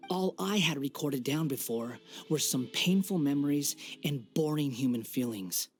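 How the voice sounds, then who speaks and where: A man's voice: on the high side, moderate pace, lightly acted. An actor playing an arrogant, mocking young man who sees himself as a god, in an English anime game dub. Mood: scornful, bitter. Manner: condescending, theatrical, taunting. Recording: background music under the voice.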